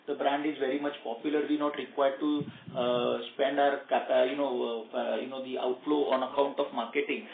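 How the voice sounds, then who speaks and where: A man's voice: medium pitch, level tone, brisk, gravelly, hardly any pauses, slight background noise. A male company executive, answering analysts' questions on an earnings call, hesitant and full of fillers. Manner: hesitant, many fillers and restarts. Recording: echoey phone line.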